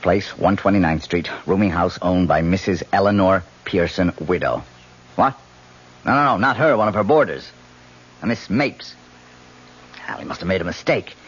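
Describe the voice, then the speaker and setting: An actor playing a brash, impatient crime reporter in a radio drama, speaking in clipped, urgent phrases. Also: male voice, low-pitched, expressive, normal speed, slightly rough. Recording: muffled old radio broadcast, faint noise.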